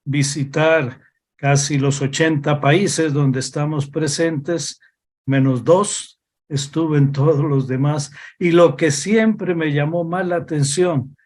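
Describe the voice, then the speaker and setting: An older man from Costa Rica, a religious brother being interviewed, recalls his past in an unhurried, thoughtful way. His voice sits somewhat high for a man, and he pauses often to find his words. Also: fairly even intonation, darkish tone. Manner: slow, reflective, hesitant with pauses. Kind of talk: interview over video call.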